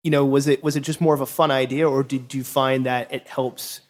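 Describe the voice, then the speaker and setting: A man hosts a conversational interview at an ordinary mid pitch. He winds through long questions and corrects himself along the way. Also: little pitch movement, a little fast. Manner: rambling, self-correcting questions. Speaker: podcast host.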